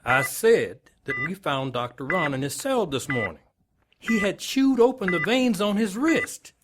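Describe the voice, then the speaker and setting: An actress playing a brusque, skeptical police lieutenant in an audio drama, blunt and sardonic. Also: female voice, a bass voice, sing-song, moderate pace. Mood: impatient. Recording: clearly noisy background.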